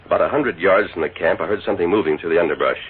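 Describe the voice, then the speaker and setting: An actor playing a calm, resolute masked cowboy lawman with firm authority in a 1940s radio western. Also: deep voice, rapid-fire, lightly acted. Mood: resolute, watchful. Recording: tinny, hissy 1940s radio recording.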